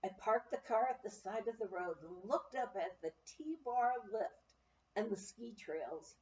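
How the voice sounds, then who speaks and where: A woman narrating a memoir aloud in an expressive, storytelling manner. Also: medium pitch, a little slow, distant mic. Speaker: audiobook reader. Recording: muffled, roomy, off-mic amateur recording.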